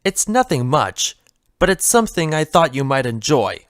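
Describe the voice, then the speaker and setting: A woman voicing a mischievous male chaos creature with a soft heart, theatrical and teasing, in a story reading. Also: low voice, sing-song, normal speed, no echo, clean studio sound. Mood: upbeat, insistent.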